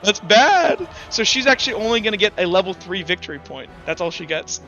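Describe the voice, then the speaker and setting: A man's voice: high-pitched, expressive, a little fast, pushed and bright, muffled recording. A man acting as game master in a tabletop roleplaying game, talking casually and swearing freely. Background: background music.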